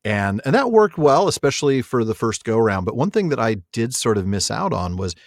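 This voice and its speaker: Podcast host guy, medium pitch, chatty or reading fantasy dramatically, dry studio-like sound. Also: sing-song, a little fast, no room sound. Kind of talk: casual chat and dramatic reading of fantasy prose.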